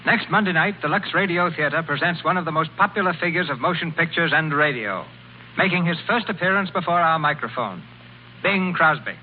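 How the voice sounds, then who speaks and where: Male radio announcer, formal, slightly high, tinny old recording. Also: expressive, fairly quick, slight background noise.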